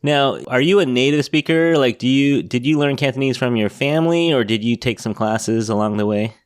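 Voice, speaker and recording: An American man hosts a podcast in a casual interview style. His voice sits at a middle pitch and the sound is very dry, with hardly any room on it. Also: a little fast, clear, resonant tone, dry recording. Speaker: podcast host.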